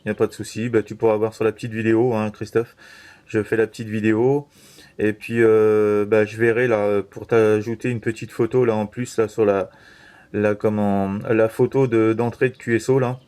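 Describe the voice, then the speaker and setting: A man chatting casually as an amateur radio operator, halting and repeating himself with frequent verbal tags. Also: on the low side, flat delivery, darkish tone. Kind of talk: casual CB radio contact chat.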